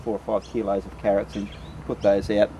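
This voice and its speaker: A man's voice: on the low side, flat delivery, brisk, warm tone, low-quality recording. A man giving an informal, practical field explanation in a plain-spoken manner, like a trainer showing people the job. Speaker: rabbit control trapper and trainer. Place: outdoors on rural land. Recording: clear outdoor rural background noise.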